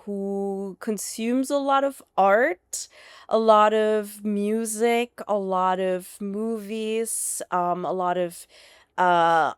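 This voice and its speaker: A woman's voice: mid-range voice, clean tone, dry recording, clean studio sound. A woman with a slight German accent, a podcast guest chatting enthusiastically about herself and her interests. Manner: enthusiastic, chatty, restarts and fillers. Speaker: guest, founder of an alcohol-free bar. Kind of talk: casual interview chat.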